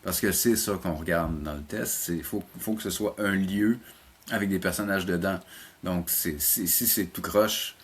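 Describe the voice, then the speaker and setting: Low-pitched Québécois man, slightly husky. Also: measured pace. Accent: Québécois French. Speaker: interview guest, animation teacher and program coordinator. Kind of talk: casual interview conversation.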